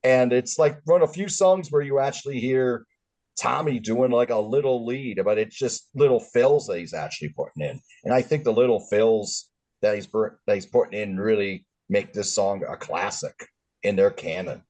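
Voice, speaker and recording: A man as a guest on a music chat, fondly describing a favorite heavy track in a relaxed way. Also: medium pitch, moderate pace. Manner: relaxed, fond enthusiasm. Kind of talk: casual music discussion.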